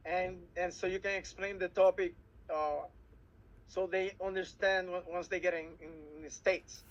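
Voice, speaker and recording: A man with a Puerto Rican Spanish accent, Spanish his first language, talks cadets through an exam in English. His voice sits high for a man, and he halts and repeats words as he searches for them. The sound is muffled and lo-fi. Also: steady tone. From Puerto Rico. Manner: halting, many restarts and repeated words. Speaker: cadet program instructor. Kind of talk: workshop briefing.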